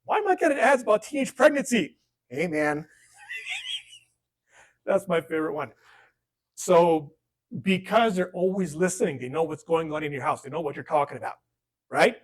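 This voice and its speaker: A man leads a relaxed class on phone safety, his voice a bit on the high side. He talks off the cuff, tosses in jokes and acts out little exchanges with gadgets. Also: normal speed, long pauses. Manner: conversational, joking asides, acts out dialogue. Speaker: workshop instructor. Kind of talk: informal classroom lesson.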